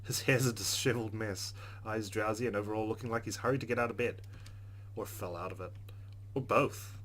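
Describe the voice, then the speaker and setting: A man's voice: fairly low pitch, a little fast, a bit bright, slight background noise. A man hosting a let's play, reading game narration aloud and adding casual commentary. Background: faint game music.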